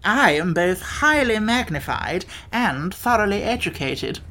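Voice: medium pitch, very animated, unhurried, sharp tone, slight background noise, pitched above the performer's own voice. An oversized scholarly bug from a children's story, put on by a narrator. It speaks earnestly and pompously, proud of its own learning. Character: pompous, educated giant talking bug.

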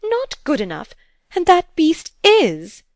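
A woman reading aloud and voicing a wrathful, scornful stepmother from period fiction in a loud, vehement manner. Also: mid-range voice, moderate pace, no echo, clean studio sound, natural voice. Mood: wild outrage.

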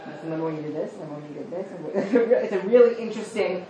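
A woman leading a study class, talking quickly and encouragingly, often asking "right?" and praising answers. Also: mid-range voice, warm tone. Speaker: teacher leading a study session. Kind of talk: interactive class discussion. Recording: echoey room with background noise.

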